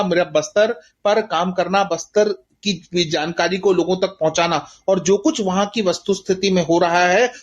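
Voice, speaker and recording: A middle-aged Indian man answering as a guest author in a literary interview, speaking earnestly and reflectively with emphatic, rhetorical repetition. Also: high-pitched. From Bastar, India.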